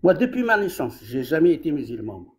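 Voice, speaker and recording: A man's voice: on the high side, big pitch swings, a little fast, crowd noise. A man in a heated live-stream debate who insists, repeats himself and stumbles over his words. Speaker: debate caller.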